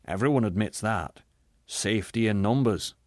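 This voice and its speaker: A man voicing a shrewd, furtive schemer in a storybook, muttering and confiding in a low, anxious way. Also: normal speed, no room sound, clean studio sound, natural voice. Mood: confiding, scheming.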